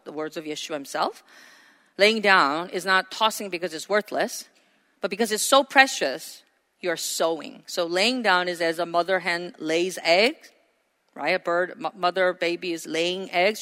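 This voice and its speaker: A woman's voice: fairly low pitch, sharp tone. A woman pastor preaching a sermon, earnest and asking her congregation questions. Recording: hall reverb.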